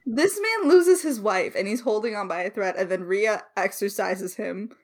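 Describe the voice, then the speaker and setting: Casual podcast co-host: woman, very expressive range, effusive and giggly, answering listener questions. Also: medium pitch, moderate pace. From Alberta, Canada. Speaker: podcast co-host, audio drama creator.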